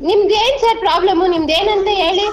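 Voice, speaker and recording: A woman's voice: very high voice, fairly quick, crowd noise. A woman with a southern Karnataka accent in a casual phone conversation, blunt and questioning. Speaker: prank call recipient. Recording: phone call with music behind.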